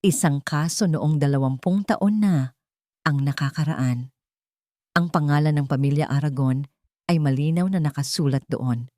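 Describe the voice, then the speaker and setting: A woman's voice: low-pitched, moderate pace, mellow, dry recording, clean studio sound. A woman reading a scripted romantic drama as a story narrator.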